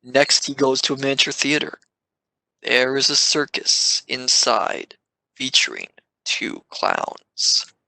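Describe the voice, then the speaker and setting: A man's voice: medium pitch, huge pitch swings, slow, gravelly, sharp tone, no echo, low-quality recording. A man reading scripted stage narration for an audio drama.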